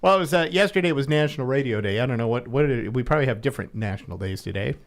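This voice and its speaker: A man's voice: mid-range voice, animated. Easygoing man hosting morning radio. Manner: easygoing, teasing, leads the talk with quick questions. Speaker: radio host. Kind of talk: casual morning radio chat. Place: radio studio.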